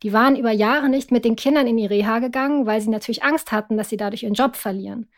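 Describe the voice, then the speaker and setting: A middle-aged woman giving a personal account as an interview guest, reflective and often pausing to search for words. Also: on the high side. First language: German.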